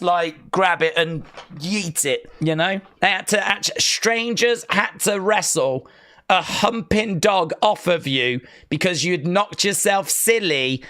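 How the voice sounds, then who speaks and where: Guy hosting a live watch-along, reads chat out and teases folks, kinda high voice, takes his time. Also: fairly bright tone. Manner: reads viewer messages aloud, playful teasing. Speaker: live-stream host reading chat.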